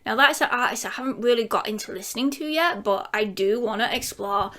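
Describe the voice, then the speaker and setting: Casual YouTuber woman, 30s, rambling Q&A chat, laughs easily, slightly higher voice. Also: brisk, pushed and bright. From England. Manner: chatty and giggly, rambling with many fillers; breaks into bits of song. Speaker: YouTuber answering viewer questions.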